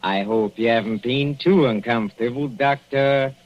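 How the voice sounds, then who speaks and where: An actor playing a sadistic middle-aged professor with a Central European accent, mocking and chuckling with purring menace in a 1940s radio play. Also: male voice, mid-range voice, normal speed, natural voice. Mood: gloating. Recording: lo-fi vintage radio recording, faint hiss.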